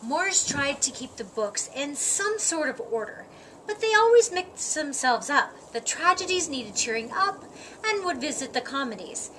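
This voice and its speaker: A woman reading a children's story aloud, with expressive, deliberate phrasing and dramatic emphasis. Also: high voice, rough recording. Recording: audible background noise.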